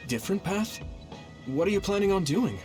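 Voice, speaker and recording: An actor playing an earnest, idealistic young platoon commander in an English game dub, with a polite, sincere way of talking. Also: male voice, high voice, animated, fairly quick. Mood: earnest, urgent. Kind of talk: English-dubbed video game cutscene acting. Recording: music behind the voice.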